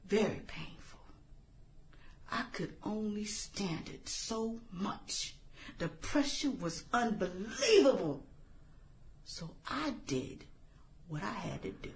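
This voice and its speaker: Slow, taunting vengeful woman, dramatically animated acted by a woman. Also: medium pitch, slight background noise, full character voice. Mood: anguished, angry. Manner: sarcastic, theatrical, drawn-out phrasing with breathy whispers. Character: bitter, taunting adult woman, vengeful former high school outcast turned killer. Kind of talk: dramatic dialogue in satirical radio drama.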